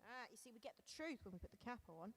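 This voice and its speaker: A woman's voice: on the high side, animated, very fast, faint music behind. A woman musician chatting casually on her live stream, fussing over her tuning and the stream setup. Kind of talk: casual chat between songs.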